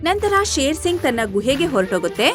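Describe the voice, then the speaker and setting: A woman narrating an animal story in an animated storytelling style. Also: high voice, a little fast, soft background music.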